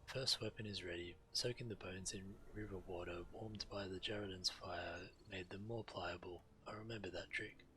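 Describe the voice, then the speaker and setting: A man with a low-pitched, strikingly forceful and crisp-edged voice reads quest dialogue aloud as a game streamer. He narrates and slips into the characters' lines, with game ambience and noise behind him. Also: low-quality recording.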